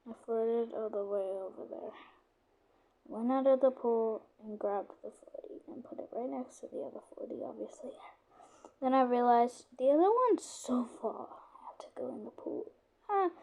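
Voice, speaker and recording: A woman casually narrating a made-up day in first person, her voice sore and lowered, often dropping to a soft whisper as she rambles on. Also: vocal fry, rough recording. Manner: rambling, with frequent "obviously" and restarts. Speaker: channel creator narrating a roleplay.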